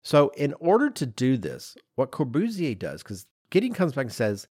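A man's voice: mid-range voice, huge pitch swings, moderate pace, darkish tone, slightly rough, no room sound, studio quality. A man, telling stories as a podcast co-host in an emphatic, self-deprecating, conversational way. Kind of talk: animated storytelling in casual podcast chat.

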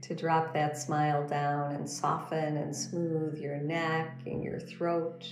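A woman leading a guided meditation as a teacher, speaking in a gentle, soothing, unhurried instructional manner. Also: deep voice, little pitch movement. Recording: roomy echo under background music. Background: meditation music.

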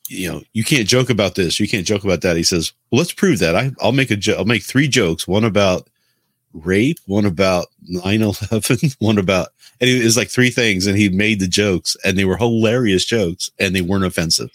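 A man's voice: on the low side, normal speed, dry recording, clean studio sound. A man co-hosting a podcast in casual chat, giving his opinions conversationally and restating his points. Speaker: podcast co-host.

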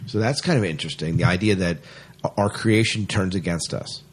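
Male podcast host, deep and slightly rough voice, chatty and keen, casual geek-show banter. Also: fairly quick. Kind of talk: casual sci-fi podcast chat.

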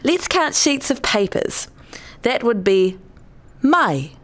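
A woman's voice: on the high side, big pitch swings, slow pace. A woman playing a stern, exasperated wife and mother in an audio drama. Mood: instructive. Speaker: actress in a radio play.

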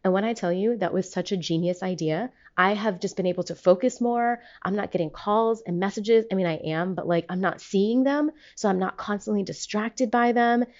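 A woman's voice: medium pitch, normal speed, hardly any pauses, a little mellow. Chatty young woman, slightly dull recording. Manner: chatty, slangy, profane, emphatic. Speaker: podcast host. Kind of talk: casual voicemail-style monologue.

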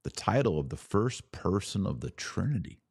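A man hosting a religious podcast, preaching in an earnest, emphatic way with deliberate, broken-up phrasing. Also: low-pitched, big pitch swings, gravelly, a little mellow, slightly rough, dry recording, clean studio sound. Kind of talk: preachy video commentary.

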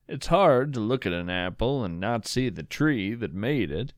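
A man's voice: medium pitch, dry recording, clean studio sound. A man hosting a storytelling podcast with scripted, poetic narration, musing and savoring his words.

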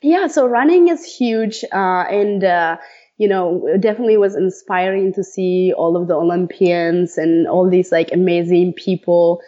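A woman's voice: fairly low pitch, moderate pace, mellow, highs cut off. A woman in her thirties, born in Ethiopia, chatting casually as an interview guest with frequent laughs and many fillers. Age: 30s. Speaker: guest, runner.

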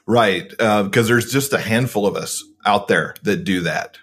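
A man speaking as a podcast guest, giving practical advice in a relaxed way, with frequent ums. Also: on the low side, unhurried, breathy edge. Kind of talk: casual interview chat.

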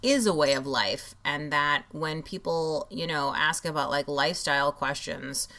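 A woman's voice: sits low, unhurried, pushed and bright. A woman hosting an interview, reflective and enthusiastic, with many fillers as she talks. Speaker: podcast host. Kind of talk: conversational interview.

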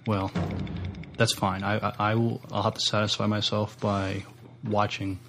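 A man's voice: fairly low pitch, flat delivery, unhurried, music in the background. A man co-hosting a podcast in casual chat, speaking hesitantly and often repeating words. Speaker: podcast co-host.

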